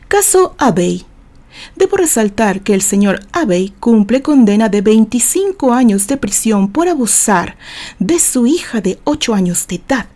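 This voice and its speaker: A woman's voice: medium pitch, dark tone, close to an everyday voice. A woman voicing an assured male defense attorney in an audio novel, arguing formally and emphatically like a courtroom orator.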